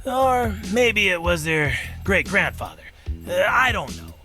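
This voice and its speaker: A man's voice: high-pitched, sing-song, measured pace, sharp tone, soft background music, full character voice. A man playing a folksy, teasing old gas station attendant in a visual novel, telling tales. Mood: storytelling. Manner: drawling storyteller, folksy and teasing.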